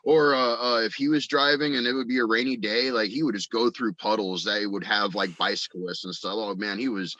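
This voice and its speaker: A man's voice: lowish voice, steady tone, fairly quick, few pauses, bright, forceful tone, no echo, lo-fi sound. A man chatting casually as a podcast guest, telling rambling, profane anecdotes peppered with "you know". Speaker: podcast guest, audio drama writer.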